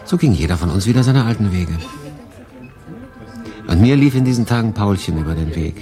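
Actor as low-voiced, wry refugee man over music. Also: animated, brisk, close to an everyday voice. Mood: reflective, wry. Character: wry, guarded male refugee narrating his own story. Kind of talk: radio drama narration and dialogue.

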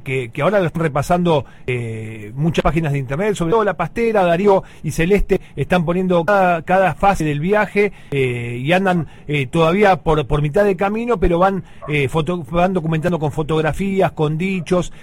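An Argentine man hosting a radio interview, chatty and lively, with plenty of fillers. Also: on the high side. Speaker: radio host.

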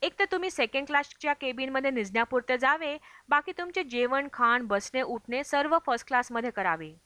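A woman's voice: sits high, animated, brisk, sharp tone, no echo. A woman reading a travel memoir aloud as scripted audiobook narration. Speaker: audiobook reader.

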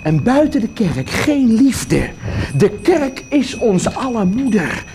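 Sleazy mocking monk selling pardons like a fairground hawker, super swoopy pitch, noisy radio play audio. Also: male voice, big pitch swings, slow pace, a little mellow, heavily acted. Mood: mock pastoral. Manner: hawking, sneering, exaggerated showmanship. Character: cynical, mocking monk peddling indulgences like a showman. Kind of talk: theatrical radio drama acting.

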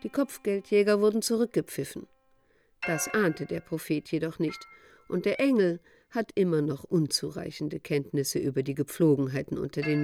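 An actress narrating a literary radio play as a composed storyteller, with measured, scripted delivery. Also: female voice, lowish voice, darkish tone, natural voice. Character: female storyteller of the prophet's flight, composed. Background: faint music.

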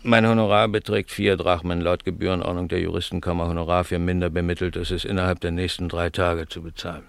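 An actor playing a pompous, wheedling lawyer in a German radio play, lecturing with oratorical emphasis. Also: male voice, low-pitched, flat delivery, fast talker, vocal fry, few pauses, no echo. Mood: officious. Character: pompous, wheedling male lawyer, stern moralizer.

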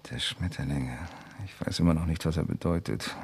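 An actor playing a guarded, skeptical crime writer in a radio crime drama, terse and questioning on the telephone. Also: male voice, extremely low pitch, fairly quick, husky, exaggerated voice. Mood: wary, puzzled. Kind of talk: 1980 German radio crime drama acting.

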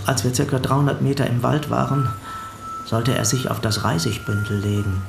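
A man's voice: medium pitch, flat delivery, normal speed, gravelly, music in the background, close to an everyday voice. A man acting a dutiful parish helper in a radio drama, giving a meticulous, matter-of-fact witness account. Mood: earnest recounting. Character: dutiful male parish helper recounting to an investigator. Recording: roomy sound with faint background ambience.

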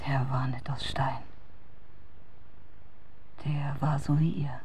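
An actress in a German radio drama playing a bitter, tender outcast woman in a husky, breathy half-whisper, with archaic, poetic speech. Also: rumbling low, flat delivery, takes it slowly, a little mellow, heavily acted. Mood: tender, hushed.

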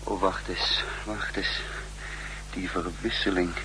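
An actor playing a shrewd, weary spymaster on the run in a 1960s radio drama, speaking deliberately and broodingly. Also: male voice, low voice, flat delivery, sharp tone, exaggerated voice, poor audio. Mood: grim, brooding. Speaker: lead actor and first-person narrator. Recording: humming, hissy 1960s radio recording.

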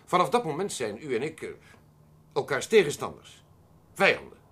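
An actor playing a pompous, prickly accountant with sinister plans in a radio drama, precise and theatrically formal, in a husky voice. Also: male voice, on the high side, sing-song, moderate pace, a bit bright, heavily acted. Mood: explaining, self-assured. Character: pompous, prickly accountant coolly proposing a perfect murder. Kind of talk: Dutch radio drama acting.

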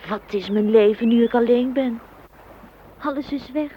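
A woman's voice: fairly high pitch, moderate pace, lightly acted. A woman playing a forlorn, plaintive blade of grass in a poetic radio play. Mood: bleak. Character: forlorn blade of grass, alone in a mown field. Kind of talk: Dutch radio play acting. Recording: dull, faintly noisy old radio recording.

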